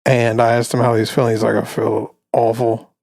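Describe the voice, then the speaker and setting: A man in his late twenties co-hosting a casual podcast, telling stories in a relaxed, rambling way. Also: mid-range voice, brisk, creaky, dry recording, clean studio sound. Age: 20s. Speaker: podcast co-host. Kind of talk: casual chat.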